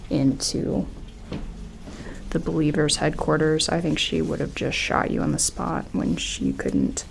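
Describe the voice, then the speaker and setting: Slow, hushed sci-fi medic played by a woman. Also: low voice, gravelly, slight background noise, exaggerated voice, low-quality recording. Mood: wry, confiding. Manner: hushed, hesitant, reassuring, informal. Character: gentle, respectful female sci-fi colony medic examining an alien. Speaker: ASMR roleplay performer. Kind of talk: soft-spoken ASMR roleplay.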